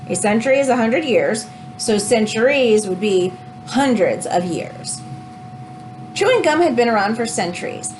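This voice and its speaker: A woman reads a children's book aloud in an expressive, teacherly way, adding explanatory asides as she goes. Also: on the high side, low-quality recording.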